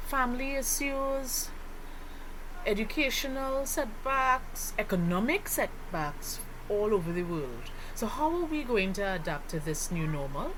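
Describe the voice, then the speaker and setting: Trini lady teacher explaining stuff, slow pace but super animated pitch, noisy recording. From Trinidad and Tobago. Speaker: sociology teacher. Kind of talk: explanatory lesson voice-over. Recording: clearly noisy background ambience.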